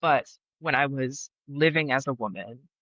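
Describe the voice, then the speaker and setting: A man's voice: medium pitch, moderate pace, fairly bright tone, dry recording, highs cut off. A young man chatting as a podcast guest, laughing often and talking with many fillers. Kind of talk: casual interview chat. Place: Brooklyn.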